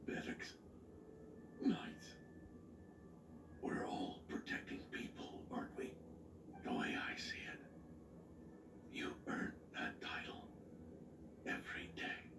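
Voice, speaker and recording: A man acting a frail, fatherly old knight captain from a video game. He speaks very slowly in a low, breathy whisper. The sound is echoey and a little distant. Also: natural voice. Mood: gentle, reassuring.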